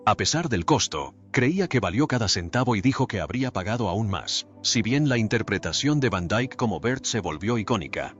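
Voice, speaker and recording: A man reading a scripted documentary narration in an even, measured way. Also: lowish voice. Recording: dull lo-fi voice-over with faint music. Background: faint background music.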